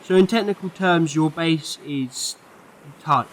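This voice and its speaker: Male actor as kindly alien time traveller, higher-pitched voice, breezy, chatty, playful pauses, audio drama. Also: a little hiss or hum, low-quality recording. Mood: breezy, curious. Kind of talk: fan audio drama acting.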